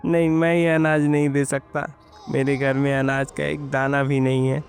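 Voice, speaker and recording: A man voicing a poor, hungry farm labourer in an animated village story, troubled and pleading in manner. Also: medium pitch, moderate pace, gravelly, faint music behind, pitched above the performer's own voice, lightly acted. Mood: desperate pleading.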